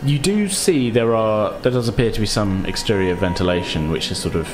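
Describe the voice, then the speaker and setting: Male game master, deep pitch, descriptive conversational scene-setting, tabletop roleplaying, prominent music behind. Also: brisk.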